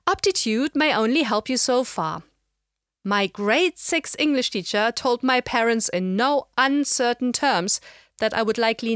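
A middle-aged woman with a slight German accent, giving a measured, explanatory lecture. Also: a little high, a bit bright, no echo, studio quality. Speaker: language educator. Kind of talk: scripted lecture narration.

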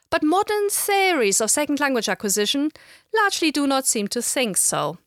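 A middle-aged woman with a slight German accent, giving a measured, explanatory lecture. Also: high voice, a bit bright, dry recording, studio quality. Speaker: language educator. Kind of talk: scripted lecture narration.

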